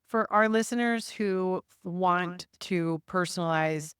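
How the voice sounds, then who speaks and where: A woman's voice: on the low side, slow pace, clean tone, no echo, clean studio sound. A woman hosting a podcast interview, asking questions in a friendly, upbeat way. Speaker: podcast host.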